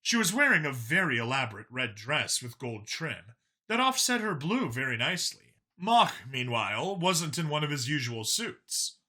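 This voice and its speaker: A man's voice: on the high side, very animated, normal speed, sharp tone. A man reading scripted fiction as an audiobook narrator.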